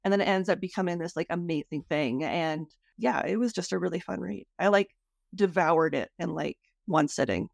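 A woman's voice: lowish voice, moderate pace, vocal fry, dry recording, clean studio sound. A woman hosting a podcast interview in a casual, conversational style.

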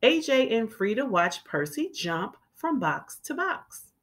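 A woman reading a children's storybook aloud as a teacher would, expressively and with clearly enunciated words for young listeners. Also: a little high, very animated, takes it slowly, a bit bright.

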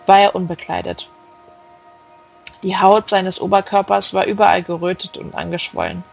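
Audiobook narrator, mid-pitched voice, reading literary prose with music underneath. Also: vocal fry, husky, rough recording. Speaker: audiobook reader.

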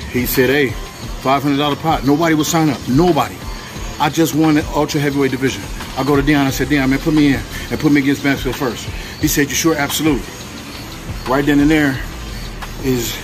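Gym vlog dude, slangy and pumped up, music going behind him. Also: male voice, medium pitch. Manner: hyped, swearing, slangy. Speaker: fitness vlogger. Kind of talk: casual motivational vlog talk.

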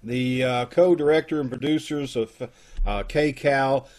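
A man's voice: mid-range voice, a little slow, darkish tone. A middle-aged man hosting from home on a video call, announcing and chatting casually. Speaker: host introducing a live radio troupe show. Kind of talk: casual live announcing and chat.

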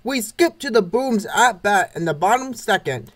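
A man's voice: high voice, lively intonation, normal speed, fairly bright tone. A man reading scripted play-by-play narration of a comic baseball game. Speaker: narrator of a comic dub series.

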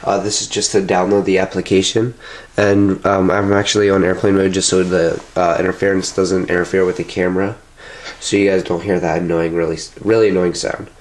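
Stuffy-nosed young man, low voice. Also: level tone, a little fast. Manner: loose and chatty, many filler sounds. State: stuffed-up nose from a cold. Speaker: tech tutorial host. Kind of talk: casual step-by-step how-to.

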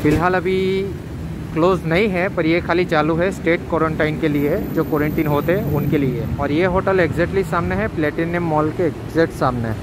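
High-voiced man giving a street walking tour. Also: fairly even intonation, moderate pace. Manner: chatty, keeps asking "right?". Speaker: travel vlogger. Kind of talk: casual walking tour commentary. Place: outdoors on busy city streets. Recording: street traffic noise behind.

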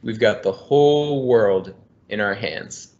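Homem grave lendo livro infantil. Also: male voice, fairly low pitch, slow, a little mellow. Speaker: environmental engineer. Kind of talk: reading a children's book aloud. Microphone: laptop webcam on video call. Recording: muffled video call audio.

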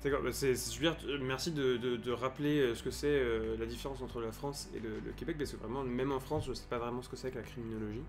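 30s guy hosting a live stream on a headset, stumbles and restarts a lot, soft music in the back. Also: mid-range voice, fairly even intonation, fast, hardly any pauses. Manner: hesitant, many restarts and fillers. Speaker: stream host, interviewer. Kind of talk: casual live-stream interview. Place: home room, indoors.

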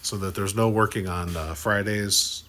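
A man's voice: sits low, steady tone, measured pace, sharp tone. A middle-aged man hosting a podcast in an office meeting room, talking into a desk mic in an upbeat, teasing way. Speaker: podcast host. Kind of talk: lively on-site podcast chat.

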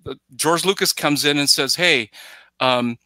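Bright-voiced man, rambling and emphatic. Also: on the high side, measured pace, no echo. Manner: rambling, emphatic, acts out imagined quotes. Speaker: podcast guest commentator. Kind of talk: casual film discussion. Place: indoors, plain room, video call. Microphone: headset.

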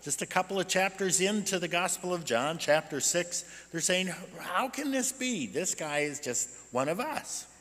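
An American man in his sixties preaching a conversational, explanatory sermon as a pastor, animated and full of rhetorical questions. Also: high-pitched, moderate pace, few pauses. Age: 60s. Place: indoors at a church pulpit. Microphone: gooseneck pulpit microphone. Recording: reverberant church sanctuary.

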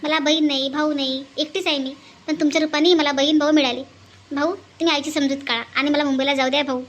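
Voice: sits high, fairly even intonation, quick pace, a bit bright. A performer voicing an earnest, tender city woman in a cartoon melodrama, speaking politely, pleadingly and sentimentally. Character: earnest, tender orphanage woman from Mumbai, bidding farewell.

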